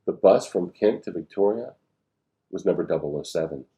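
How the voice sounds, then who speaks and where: Man reading a logical schoolgirl spy, low tone. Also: moderate pace, mellow. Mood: explanatory. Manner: matter-of-fact, explanatory. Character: logical, firm, know-it-all schoolgirl spy. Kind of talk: storybook read-aloud dialogue.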